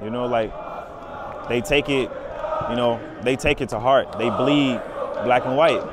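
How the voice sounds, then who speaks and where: A young man chats casually with a mid-pitched voice, leaning on slang and repeating phrases. Loud noise and crowd sound sit behind him. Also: a little slow. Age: young adult. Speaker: professional basketball player. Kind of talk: casual chat. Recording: noisy car and outdoor stadium, crowd.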